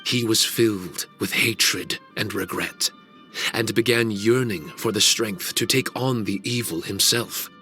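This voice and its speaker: A man voicing an ancient male guardian spirit in a video game cutscene, formal, measured and grave. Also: on the low side, pushed and bright, soft background music. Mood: solemn.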